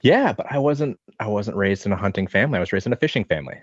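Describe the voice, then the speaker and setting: Deep-voiced American man, dull lo-fi sound. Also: racing, no echo. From American Midwest. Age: 30s. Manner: relaxed, thoughtful, rambling answers. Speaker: podcast guest, online fitness coach. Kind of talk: casual interview chat.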